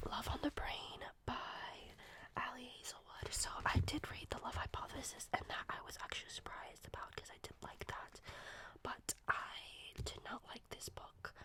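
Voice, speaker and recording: A young woman who is sick whispers her way through an ASMR book review, breathy and high. She rambles in a casual, loose way, filling her pauses with "um" and "like.". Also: little pitch movement. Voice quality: soft unvoiced whisper. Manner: rambling, casual, many fillers. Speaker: ASMR creator.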